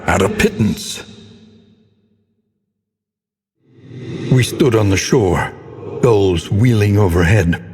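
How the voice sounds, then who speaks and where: An actor playing a grave, brooding, scholarly older male narrator in a gothic video game, speaking with solemn, theatrical, measured delivery and literary diction. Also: fairly low pitch, darkish tone, long reverb tail, a little hiss or hum, close to an everyday voice. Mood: wistful, solemn.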